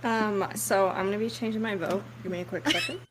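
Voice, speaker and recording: A woman's voice: mid-range voice, fairly quick, slight background noise. A woman co-hosting a podcast, chatting casually in a dry, mock-earnest way. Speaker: podcast co-host. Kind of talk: casual chat.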